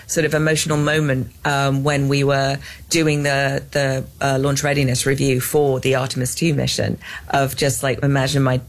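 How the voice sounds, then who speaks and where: A woman's voice: a bass voice, little pitch movement, normal speed, few pauses. An English woman in her fifties, a scientist guest in an interview, talking earnestly with many restarts and fillers. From England. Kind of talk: interview conversation.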